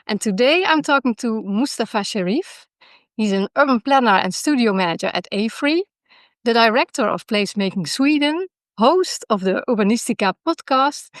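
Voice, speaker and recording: A woman's voice: fairly high pitch, a bit bright, dry recording. A woman hosting a podcast interview, friendly and encouraging, with many short agreements. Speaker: podcast host.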